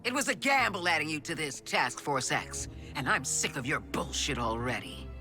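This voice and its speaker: A curt, fed-up commander. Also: male voice, a little high, lively intonation, moderate pace, sharp tone. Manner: curt, scolding. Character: stern male commander of a criminal task force, fed up. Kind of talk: video game cutscene acting. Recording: game cutscene with music.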